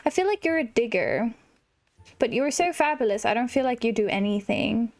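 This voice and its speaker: A woman hosting a gaming video, chatting casually and excitably as she plays and often exclaiming. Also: fairly high pitch, fairly quick. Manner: excitable, exclamatory, chuckles at herself. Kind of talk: casual let's-play chat, reading game dialogue aloud.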